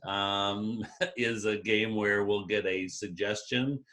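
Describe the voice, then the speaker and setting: A man's voice: lowish voice, steady tone, slow, bright, forceful tone. A man running improv comedy games over a video call, laughing often as he talks. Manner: laughs often while talking. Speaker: improv game leader. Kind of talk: casual comedy banter.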